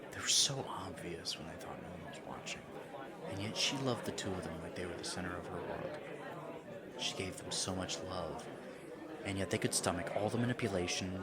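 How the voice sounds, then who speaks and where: Low-voiced man, earnest, loud crowd behind. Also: very noisy. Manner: earnest, reflective, at times dropping to a hushed whisper. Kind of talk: audio roleplay monologue.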